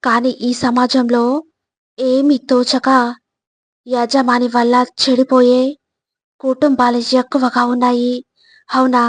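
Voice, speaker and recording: Slow, even-toned woman narrating life advice. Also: fairly high pitch, no room sound. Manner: measured, instructive, addressing the listener directly. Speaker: narrator of motivational videos. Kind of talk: scripted motivational narration.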